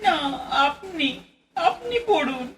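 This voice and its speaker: A woman's voice: extremely high pitch, big pitch swings, slow, exaggerated voice. A woman acting a polite, deferential young traveller in an audio play. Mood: tearful, apologetic. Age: young adult. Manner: courteous, deferential to her elder. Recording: distant mic, faint background noise.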